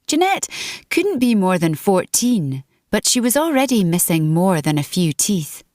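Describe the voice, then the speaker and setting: A woman reading dramatic fiction as an audiobook narrator, in a measured, deliberate storytelling manner. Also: medium pitch, sing-song, a little mellow, no echo, clean studio sound.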